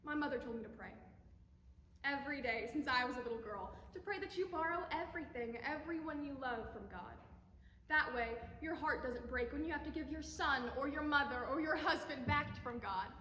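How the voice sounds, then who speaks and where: A woman performing an intense, bitterly tender grieving young mother in a halting, impassioned dramatic monologue. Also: high-pitched, pushed and bright, a little hiss or hum. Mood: subdued grief. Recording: echoey hall, long reverb.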